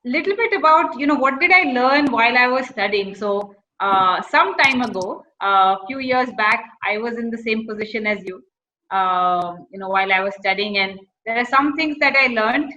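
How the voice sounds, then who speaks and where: A woman's voice: a little high, moderate pace, sharp tone, highs cut off, slight background noise. A woman with an Indian accent giving informal career advice to students, slipping between English and Hindi phrases.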